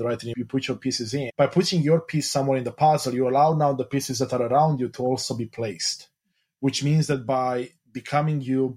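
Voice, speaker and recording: Husky reflective man hosting a podcast. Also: medium pitch, darkish tone. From Romania. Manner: reflective, speaks in long searching sentences. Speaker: podcast host. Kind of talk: casual interview chat.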